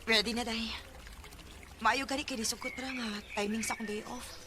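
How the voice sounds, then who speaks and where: A woman's voice: a little high, steady tone, normal speed, close to an everyday voice. A woman acting a worried, hesitant young working daughter in a Filipino radio drama. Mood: anxious. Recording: noisy radio drama recording.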